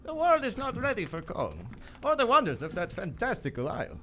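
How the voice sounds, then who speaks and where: Courteous dry prince, swooping pitch. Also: male voice, huge pitch swings, fairly quick, a bit bright, heavily acted. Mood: wry. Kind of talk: live radio theater acting. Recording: live stage recording with background noise.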